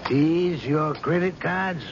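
An actor playing a gruff, sardonic police chief who presses a suspect in a radio drama. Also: male voice, a little high, slow pace. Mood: pressing, accusing. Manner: insistent, sardonic, folksy interrogator. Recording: dull, noisy old radio recording.

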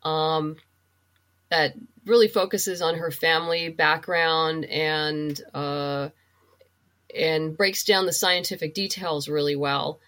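A woman's voice: low voice, fairly even intonation, slow pace, a bit bright. A woman being interviewed as a podcast guest, explaining things thoughtfully in a casual, conversational way.

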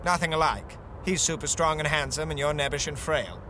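Man playing a high-voiced, swooning reporter. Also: a little fast, sharp tone, a little hiss or hum. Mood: teasing, dismissive. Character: swooning male reporter, catty and admiring of heroes. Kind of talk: 1930s radio serial acting. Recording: music behind the voice.

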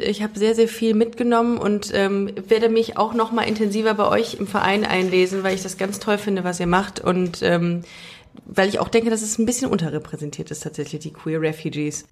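Woman, podcast host, chatty, rambling, mid pitch. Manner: chatty, rambling, restarts sentences. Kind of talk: casual podcast chat.

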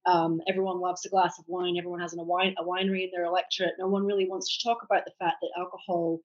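A woman's voice: fairly low pitch, level tone, quick pace, dull audio. A Scottish woman in her forties, an author telling her story candidly and reflectively in a podcast interview. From Scotland. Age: 40s. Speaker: author guest.

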